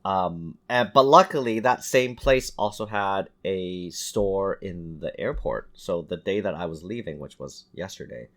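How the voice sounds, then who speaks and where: A man telling stories in casual, animated podcast banter as a host, with some swearing. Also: low voice, unhurried, hardly any pauses. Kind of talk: casual chat, storytelling.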